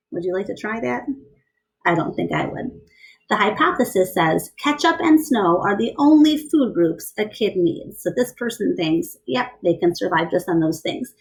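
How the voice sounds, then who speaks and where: A woman's voice: on the low side, low-quality recording. Woman reading aloud to children, instructive. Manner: instructive, asks the listener questions, enunciates steps. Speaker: teacher reading a picture book aloud. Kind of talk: children's read-aloud with explanations and questions to young listeners.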